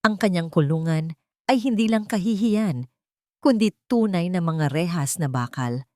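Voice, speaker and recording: A woman reading a dramatic first-person story as an audiobook narrator, measured and dramatic. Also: on the low side, lively intonation, mellow, dry recording, clean studio sound.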